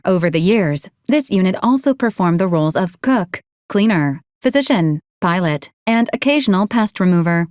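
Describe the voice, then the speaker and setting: Game role: actress as polite female service robot, literal and deadpan, formal clipped phrasing, medium register, dry studio-like sound. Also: mid-range voice, no room sound, lightly acted. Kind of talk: scripted video game character lines.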